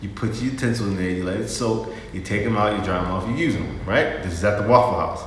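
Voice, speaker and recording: Low-voiced man in his thirties telling stories. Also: fast. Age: 30s. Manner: relaxed, laid-back storyteller with playful teasing. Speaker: vlog co-host, husband. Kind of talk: casual storytelling chat. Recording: roomy echo with faint noise.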